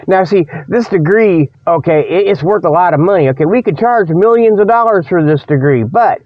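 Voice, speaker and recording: A man with a somewhat high voice hosts a comedy radio show in a folksy, rambling way. He leans on repeated little tags as he delivers tongue-in-cheek sales talk. The recording is dry and slightly muffled. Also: lively intonation, normal speed, dry recording. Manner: folksy, repeats "you know" and "okay" as tags; chuckles at own jokes.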